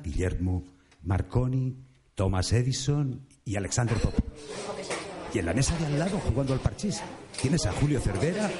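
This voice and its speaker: An actor playing a weary, grumbling Saint Peter as gatekeeper of heaven in a comic radio play. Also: male voice, medium pitch, mellow, natural voice.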